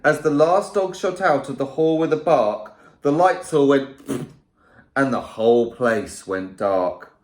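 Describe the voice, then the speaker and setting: A male primary school teacher reading a rhyming picture book aloud to children in a playful, lively way. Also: medium pitch, measured pace, roomy sound.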